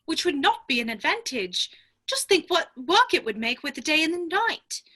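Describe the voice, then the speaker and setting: A woman's voice: sits high, big pitch swings, normal speed, sharp tone, full character voice. A woman playing a polite, curious, fretful young girl in a dramatic reading of a children's classic, earnest and prim.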